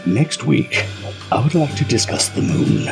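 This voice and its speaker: An actor playing a measured, smugly assured leader of a shadowy government committee in an audio drama. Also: male voice, medium pitch, expressive, gravelly, music in the background. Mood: cold, authoritative.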